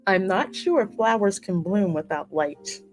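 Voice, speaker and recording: A woman's voice: medium pitch, lively intonation, unhurried. A woman hosting a game playthrough, reading the player character's lines theatrically and reacting casually between them. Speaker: gaming host voicing the player character. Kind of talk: dramatic script reading mixed with casual reactions. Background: game music.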